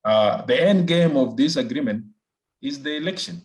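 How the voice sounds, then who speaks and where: A man with a South Sudanese accent speaks as an analyst being interviewed. His pitch sits in the middle range. He builds his points carefully, with hesitant repeats along the way. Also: lively intonation. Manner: hesitant, deliberate phrasing with repeated words. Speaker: research fellow, interview guest.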